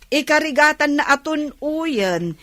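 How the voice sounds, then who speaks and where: A woman's voice: sits high, lively intonation, a little slow, pushed and bright, no room sound, heavily acted. A woman playing a nosy, scoffing village auntie who pesters for money in a comedy radio drama. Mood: scoffing, pushy.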